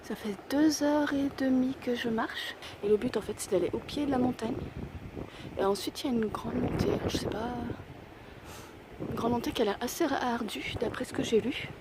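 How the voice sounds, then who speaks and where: A young woman with a hoarse voice from a cold, slightly out of breath, chatting casually to her camera while hiking a mountain trail outdoors. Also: a little high, normal speed, noisy background. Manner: chatty and self-deprecating, thinking aloud. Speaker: hiker vlogging a mountain trail. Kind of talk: casual spoken travel vlog.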